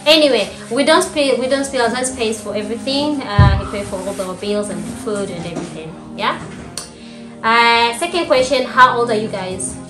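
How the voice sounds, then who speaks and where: A woman's voice: a little high, moderate pace. A woman in her twenties chatting casually with her husband on a sofa at home as a video host. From Rwanda. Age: 20s. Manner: chatty, laughs often, trails off quietly. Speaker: vlogger co-host. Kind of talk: casual chat. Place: living room sofa, indoors. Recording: roomy with background music.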